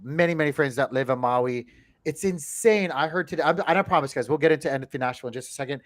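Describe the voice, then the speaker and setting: A man from Hawaii hosting a casual livestream chat from a home studio on a boom mic, laughing often and repeating himself. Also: fast talker. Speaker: host. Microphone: headset with boom mic.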